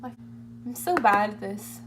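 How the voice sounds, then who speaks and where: A 21-year-old woman with a slightly hoarse voice, chatting casually through a makeup tutorial in a rambling, self-deprecating way. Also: medium pitch, a little slow. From California, United States. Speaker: beauty vlogger. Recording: amateur home video, clear humming background noise.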